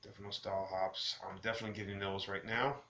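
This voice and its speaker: Low-voiced man rambling casually, echoey room. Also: little pitch movement, bright, forceful tone. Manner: halting, rambling, self-correcting. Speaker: beer review vlogger, host. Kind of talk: casual rambling review chat. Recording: roomy echo with clear background noise.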